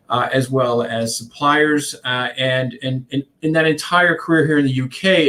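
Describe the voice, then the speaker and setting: A man's voice: mid-range voice, fairly bright tone. Man in his fifties, from Iceland, consultant, chatty interview answers, lots of restarts and filler words. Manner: rambling, many restarts and fillers. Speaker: guest, contact centre consultant.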